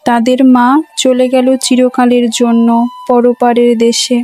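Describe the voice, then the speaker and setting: High-pitched woman storyteller. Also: monotone, measured pace, warm tone. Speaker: narrator. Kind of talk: scripted children's story narration. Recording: music behind the voice.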